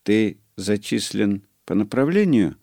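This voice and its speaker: A man voicing a worldly legless war veteran who gives dry, conspiratorial warnings, in a slow, low, gravelly voice. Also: sing-song, warm tone, dry recording, heavily acted.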